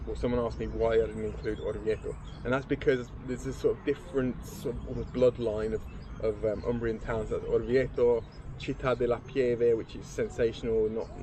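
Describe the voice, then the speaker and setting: A man's voice: lowish voice, level tone, moderate pace, few pauses, noisy background. A man co-hosting a podcast in casual chat, rambling and restarting as he explains things. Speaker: podcast co-host.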